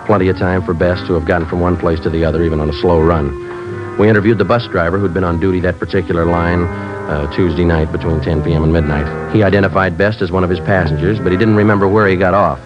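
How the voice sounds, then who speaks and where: Actor as a deep-voiced, deadpan police sergeant. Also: male voice, fast talker, music in the background, lightly acted. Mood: matter-of-fact. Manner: deadpan, matter-of-fact, clipped. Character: terse, businesslike male police sergeant narrating his case. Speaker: lead actor and narrator. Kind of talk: 1950s radio police drama, clipped factual narration. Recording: muffled old radio broadcast recording.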